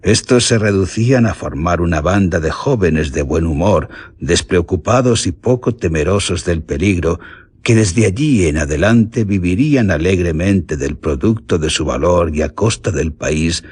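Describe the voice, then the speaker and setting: An actor playing a nobleman turned bandit, confessing his crimes in formal, measured radio drama declamation. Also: sits low, lively intonation, a little mellow, slightly rough, dry recording. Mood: grave confession. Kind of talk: Spanish radio drama monologue.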